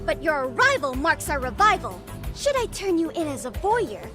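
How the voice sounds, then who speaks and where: A woman's voice: high voice, sing-song, normal speed. A woman playing an eager, pompous teenage girl who is president of a mystery club, in a dramatic video game style. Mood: guarded, boastful. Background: background music.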